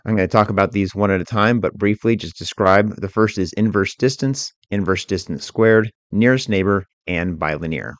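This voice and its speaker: A man working as an instructor, narrating a software tutorial in a methodical, informal, step-by-step way. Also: lowish voice, moderate pace, hardly any pauses, dry recording.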